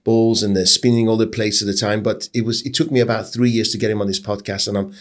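A man's voice: on the low side, level tone, fast. Teasing podcast host, man in fifties. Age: 50s. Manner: jokey, teasing, warm banter. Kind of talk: casual interview chat.

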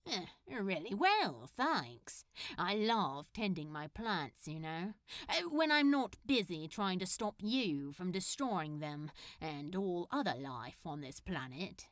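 A woman's voice: fairly low pitch, big pitch swings, takes it slowly, fairly bright tone, no echo, clean studio sound, full character voice. A woman voicing an easygoing, friendly male superhero in a story reading, with casual, hesitant speech. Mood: chatty. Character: laid-back, friendly male superhero captive. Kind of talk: fan fiction audiobook character.

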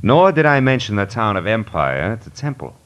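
A man's voice: lowish voice, big pitch swings, moderate pace, a bit bright. An actor with an American accent playing a tough, self-assured male private detective in clipped, insistent 1950s radio style. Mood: insistent, sure. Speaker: radio drama lead actor and narrator. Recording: vintage 1950 radio broadcast recording.

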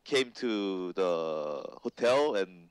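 Male co-caster, lowish voice, halting with fillers. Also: expressive, clean tone. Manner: halting, many uh fillers, laughs between points. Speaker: esports co-commentator. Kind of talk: esports draft analysis.

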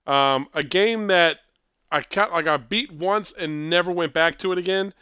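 A man in his thirties hosting a casual gameplay stream, stuffy with a cold and clearing his throat, rambling and correcting himself as he talks. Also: sits high, normal speed, pushed and bright, slight background noise. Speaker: streamer host.

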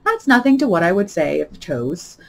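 A woman's voice: fairly high pitch, very animated, fairly quick, voice pushed up. A woman voicing a pompous older noblewoman in a storybook, gushingly agreeable, with emphatic, sententious pronouncements. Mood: pleased, moralising. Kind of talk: storybook character voice.

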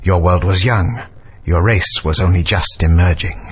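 An actor playing a stern, grave elder of an ancient alien race in a video game, speaking in a measured, authoritative way. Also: male voice, low voice. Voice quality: husky. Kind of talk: game voice acting. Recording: dull lo-fi game audio.

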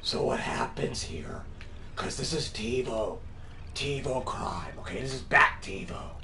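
A man voicing a boastful, crude, dim-witted parody Batman in comic game commentary, with a forced gravelly voice that breaks into shrill yells. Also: expressive, measured pace. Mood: cocky. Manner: bragging, sweary, childish, theatrical. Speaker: gaming video performer in character. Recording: roomy echo with light background noise.

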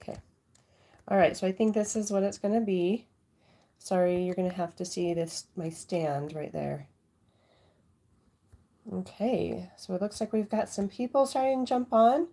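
A woman's voice: mid-range voice, moderate pace, pauses often, warm tone. Stuffy-voiced woman with a cold. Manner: apologetic, chatty. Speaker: crafting livestream host. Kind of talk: casual live unboxing chat. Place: home craft desk.

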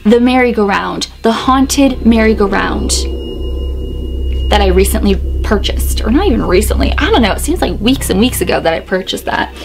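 A young woman in her twenties vlogging a chatty unboxing in a small bedroom, excitable and a bit hoarse as her voice fades. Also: medium pitch, normal speed, over music. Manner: excitable, chatty, gasps and laughs a lot. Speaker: YouTuber. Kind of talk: casual unboxing vlog. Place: small bedroom decorated with dolls.

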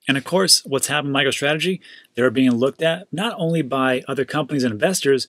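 A man's voice: mid-range voice, fairly bright tone, no echo. A man hosting a casual crypto news show, chatting upbeat and loose about market prices, often repeating himself for emphasis. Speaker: crypto news channel host.

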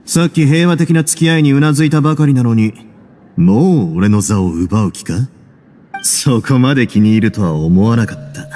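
An actor playing a composed, wry prison duke in a Japanese game, measured and courteous. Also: male voice, mid-range voice, mellow, natural voice. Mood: wryly amused. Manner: measured, wry, self-assured.

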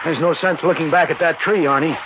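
An actor playing a practical, skeptical friend in a 1940s radio drama, reassuring and theatrical in delivery. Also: male voice, fairly high pitch, steady tone, brisk, lightly acted. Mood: puzzled, dismissive. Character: practical, skeptical male friend, dismissing spooky sights. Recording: noisy, dull 1940s radio recording.

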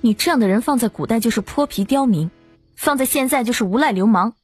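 A woman's voice: a little high, fast talker, a little mellow, slightly rough, soft background music. A woman playing a cold, haughty young female executive in an audiobook, curt and mocking.

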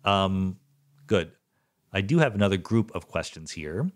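A man's voice: sits low, lively intonation, measured pace. A man hosting a podcast, talking through listener questions in a casual, explanatory monologue with conversational check-ins and plain, informal wording. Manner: conversational, often checking with "right?".

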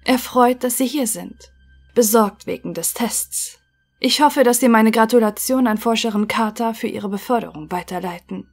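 A woman playing a formal, melancholic thinking machine in an audio drama, answering in clipped, measured phrases. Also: on the high side, faint music behind. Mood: polite, worried.

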